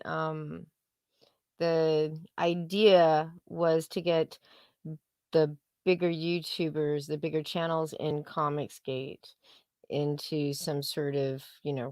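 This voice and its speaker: Slow-talking, low-voiced woman, blunt and casual. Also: a little mellow, no room sound, clean studio sound. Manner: blunt, profane. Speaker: co-host of a livestream talk show. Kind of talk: casual opinionated livestream chat.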